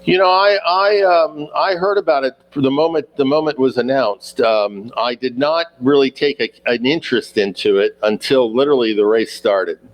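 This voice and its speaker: Guest, man, 60s, mid-pitched, halting delivery with lots of "uh"s. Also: poor audio. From United States. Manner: halting, many fillers and repeated words. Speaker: guest sailor. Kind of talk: casual interview answers.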